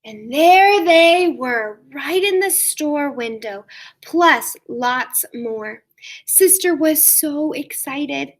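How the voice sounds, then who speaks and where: A woman reading a story aloud to young children like a teacher, expressive, with drawn-out emphasis. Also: high-pitched. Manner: expressive, asks listeners questions, drawn-out emphasis.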